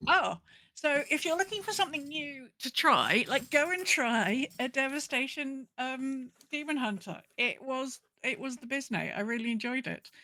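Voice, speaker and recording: Bright, higher-pitched woman chatting. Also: moderate pace, slight background noise. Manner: animated, rambling storyteller who acts out her own thoughts. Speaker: podcast co-host. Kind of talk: casual chat about gaming.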